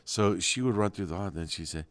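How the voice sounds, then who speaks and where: Very low-voiced rambling storyteller man. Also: quick pace, a bit bright, no room sound. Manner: rambling storyteller, fond and nostalgic. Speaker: podcast co-host. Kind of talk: casual chat.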